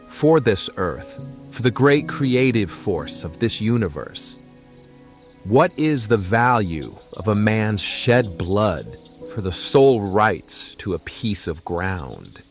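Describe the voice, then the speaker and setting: An actor playing a calm, wise traveler from the mountains in a fantasy audio drama, with a gently solemn, philosophical manner. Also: male voice, lowish voice.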